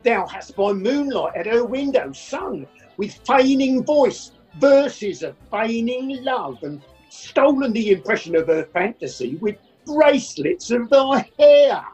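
A woman's voice: a little high, very animated, slow pace, a little mellow, dull audio, soft background music. Woman playing a vexed, swooping, indignant parent. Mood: indignant, accusing. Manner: indignant, emphatic, declamatory. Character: vexed, stern parent demanding obedience from a daughter. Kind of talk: Shakespearean radio play acting.

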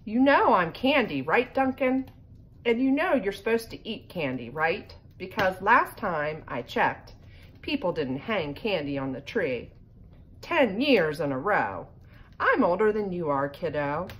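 A woman's voice: on the low side, very animated, unhurried, fairly bright tone, poor audio. A woman voicing a fed-up, bossy old candy cane ornament from a storybook, exasperated and pleading. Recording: home phone recording with background noise.

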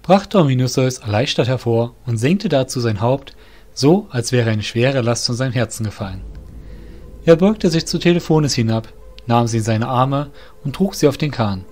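A man's voice: mid-range voice, lively intonation, moderate pace, a little mellow. A man narrating a scripted fantasy audio drama in a literary storytelling manner.

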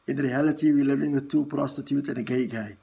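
A man's voice: mid-range voice, fairly even intonation, fast, gravelly, mellow, slightly rough. A South African man in his forties, whose first language is Afrikaans, talking straight to the camera in an earnest, rambling way with lots of fillers and restarts, filmed up close indoors. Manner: earnest, thinks aloud with many fillers and restarts. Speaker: vlog host. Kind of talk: casual direct-to-camera talk.

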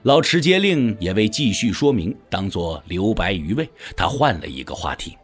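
Husky, highly dynamic male narrator. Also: sing-song, moderate pace, soft background music. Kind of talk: dramatic audiobook narration of wuxia fiction.

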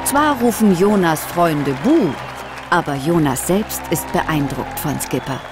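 A woman's voice: deep voice, expressive, a little slow, loud music behind the voice, over a noisy crowd. A woman narrating a scripted children's audio story.

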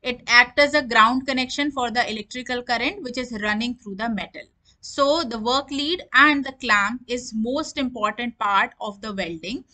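Bright-voiced woman. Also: a little high. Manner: explanatory, restates terms in simple words. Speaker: lecturer. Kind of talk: technical lecture over slides. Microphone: voice-over.

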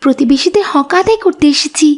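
A woman's voice: high-pitched, expressive, fast. A woman voicing a kind, devout little schoolgirl with a polite, earnest manner in a cartoon. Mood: kind, reassuring. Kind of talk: Islamic cartoon dub acting.

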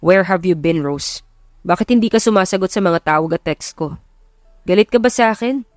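A woman's voice: on the low side, normal speed, no room sound, clean studio sound, soft background music, close to an everyday voice. A woman voicing a pleading, insistent boyfriend who pesters with demanding questions, in an audiobook romance drama. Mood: anxious, pleading. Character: pleading, insistent, cheating boyfriend, adult man.

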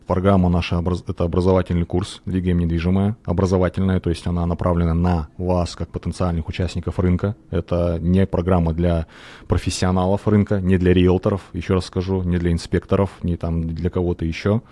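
Low, dark-voiced male radio host. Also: little pitch movement, no echo. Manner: measured, hesitant, explanatory. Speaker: radio show host, realtor. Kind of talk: educational radio talk. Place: radio studio. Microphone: studio microphone on arm, headphones.

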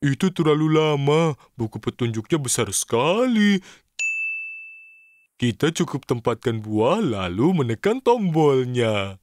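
A man voicing an eager, impatient little cartoon fellow with childlike excitement in a children's cartoon dub. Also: mid-range voice, unhurried, noisy background. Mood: eager, confident. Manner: excitable, exclamatory.

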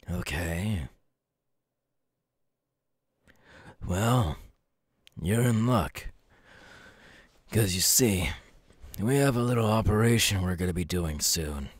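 Casting: male actor as a young villain recruiter for ASMR roleplay, low voice, dry close sound, deliberate and coolly menacing. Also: expressive, slightly rough, no room sound, exaggerated voice. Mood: probing, cool. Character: young adult male supervillain recruiter, cool, menacing, sly.

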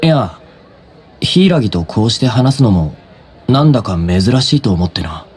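A man playing a blunt, laid-back teenage high school boy in a Japanese anime game, with casual, gruff, slangy phrasing. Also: very animated, unhurried, darkish tone, some chatter behind, exaggerated voice. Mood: hesitant.

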